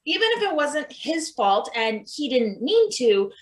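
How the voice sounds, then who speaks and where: A woman's voice: high voice, big pitch swings, a little slow. A woman playing a timid, evasive maintenance worker, meek and hesitant, in a table read of a satirical play. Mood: hesitant, defensive.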